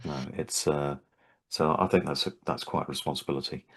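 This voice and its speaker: A man with a very deep, low voice, older, talking through his training work in a relaxed interview. He restarts his sentences often and thinks aloud, hesitant but friendly in tone. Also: a little fast, slightly rough. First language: English. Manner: thoughtful, hesitant with frequent restarts. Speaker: Havening trainer and host. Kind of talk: casual interview chat.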